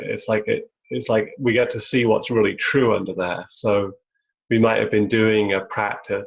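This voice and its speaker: Low gravelly man on a lo-fi web call. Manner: searching, halting, many "like"s. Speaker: spiritual teacher. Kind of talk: reflective satsang talk. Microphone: video call.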